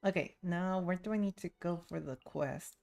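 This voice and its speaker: A woman streamer chats casually over game music in a slightly low voice with a gravelly creak. She takes her time, dropping short offhand comments to herself as she plays. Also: normal speed, darkish tone. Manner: talks to herself while playing, short offhand remarks. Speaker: streamer, VTuber. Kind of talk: casual gameplay livestream chat. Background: video game music and sounds.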